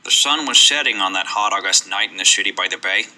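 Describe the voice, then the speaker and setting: A man playing a world-weary private eye, narrating his case in a deadpan, brooding film noir radio theater style. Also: on the low side, fast, voice pushed down, low-quality recording.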